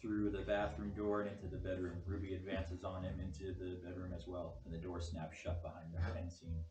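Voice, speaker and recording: A man's voice: deep voice, monotone, a little fast, warm tone. A man reading out screenplay stage directions as the narrator at a table read. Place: echoey room at a group table read. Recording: distant mic, echoey noisy room.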